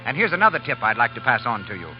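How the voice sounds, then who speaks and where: Male announcer, lively swooping pitch, polished ad read, dull 1930s radio sound. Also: rapid-fire. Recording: dull 1938 radio broadcast, music behind.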